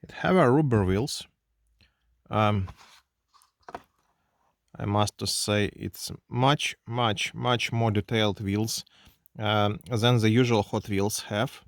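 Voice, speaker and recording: Slow Russian-accented man reviewing toys. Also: fairly low pitch, vocal fry, no room sound. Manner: halting non-native English with many fillers. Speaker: toy car reviewer. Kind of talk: hands-on unboxing review. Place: indoors at a desk.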